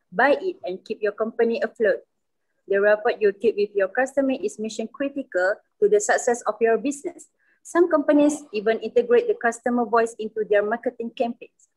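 A young Malaysian woman with a medium-pitched voice moderates a student panel, reading her introduction and questions smoothly. She speaks into a laptop on a video call. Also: darkish tone, low-quality recording. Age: young adult. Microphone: laptop microphone on video call.

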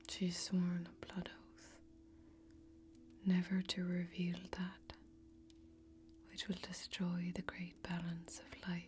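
A young woman playing a wry, hospitable fantasy warrior who keeps a tavern, speaking in hushed, drawn-out ASMR role-play. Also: on the low side, monotone. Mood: hushed, mysterious. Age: young adult. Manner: hushed, slow, drawn-out storytelling. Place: small indoor set, close up. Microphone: close microphone.

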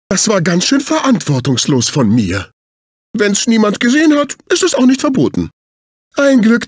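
A man's voice: very high-pitched, a bit bright, dry recording, studio quality, full character voice. A male actor voicing a fussy, snobbish, servile executive assistant in a dubbed cartoon video game, with a prissy, condescending, exclamatory delivery. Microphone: close studio microphone.

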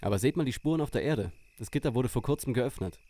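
A man's voice: medium pitch, lively intonation, quick pace, warm tone, lightly acted. A man playing a serious, suspicious young detective gang leader in an audio drama parody.

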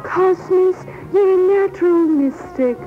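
Very high-voiced yoga guru. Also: female voice, takes it slowly. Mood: serene, comic. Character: serene, airy female yoga guru in comic ad. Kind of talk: comic radio commercial acting. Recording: tinny old radio broadcast, music behind.

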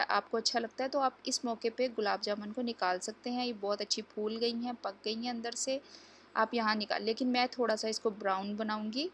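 A woman's voice: fairly high pitch, fairly bright tone, slight background noise. A woman hosting a home cooking tutorial, explaining each step to viewers in a chatty, instructive way. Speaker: cooking channel host. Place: home kitchen.

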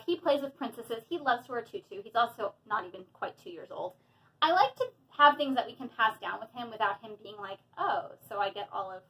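Woman in her thirties, high lively voice, chatty and excited, home vlog, camera close by. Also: rough recording. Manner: chatty, excited, bubbly, with frequent fillers. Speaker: hobby vlogger. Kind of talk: casual unboxing chat. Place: small room indoors at home.

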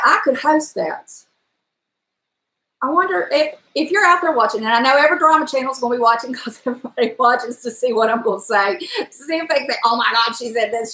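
A woman's voice: a little high, echoey room, low-quality recording. A middle-aged woman chatting animatedly on a live stream from her home kitchen, with a powerful trained voice, recorded on a webcam. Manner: chatty and animated, gesturing, breaks into song. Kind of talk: casual live-stream chat. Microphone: webcam at desk distance.

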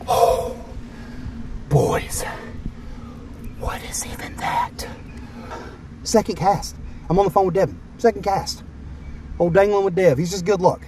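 A young man vlogging while fishing outdoors by the water, excitable and quick to laugh. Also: high-pitched, noisy background. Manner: excitable, shouting and laughing at catches.

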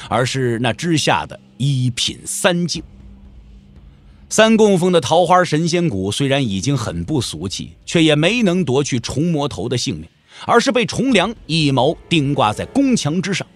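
A man's voice: on the high side, big pitch swings, normal speed. A man narrating a martial arts audio drama as a scripted, dramatic storyteller.

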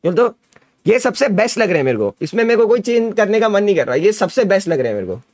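A man's voice: high voice, quick pace, dry recording, clean studio sound. A man in his twenties with an Indian accent, chatting casually on a gaming livestream, repeating himself in slangy hype. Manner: repetitive, animated slangy hype. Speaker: gaming streamer. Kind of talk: casual excited livestream chat. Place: indoor streaming setup at a desk.

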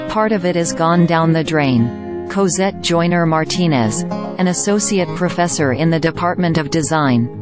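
A woman narrating a scripted news explainer in an even, measured read. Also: deep voice. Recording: voice-over with loud music bed.

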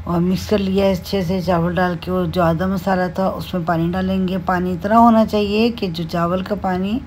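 A woman with a Pakistani Urdu accent walks viewers through a home recipe, her voice low and mellow. She talks in a relaxed, homely way, padding her steps with fillers. A faint background hiss sits under the close voice-over. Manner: unhurried, homely explaining with many fillers. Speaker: cooking channel host. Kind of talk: home cooking tutorial voice-over. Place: home kitchen.

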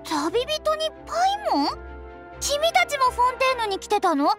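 A youthful cat-spirit courier in a game. The girl has a high, lively voice, polite but easily flustered, hesitant and apologetic, with music behind her. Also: normal speed. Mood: friendly, sheepish. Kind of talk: video game voice acting in Japanese.

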